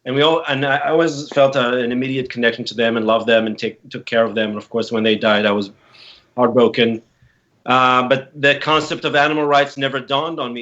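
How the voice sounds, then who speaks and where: A man's voice: mid-range voice, fairly bright tone. A man from Israel in his forties, telling his story as an interview guest in an earnest, reflective way with frequent restarts. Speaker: interview guest, activist founder. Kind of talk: casual interview talk. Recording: dull, lo-fi sound.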